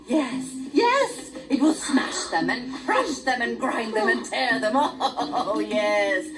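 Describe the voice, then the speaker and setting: An actress voicing a cackling, ruthless sorceress who rants with theatrical glee. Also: female voice, on the high side, expressive, takes it slowly. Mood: gleeful ranting. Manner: gleeful, theatrical villain ranting. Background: game music.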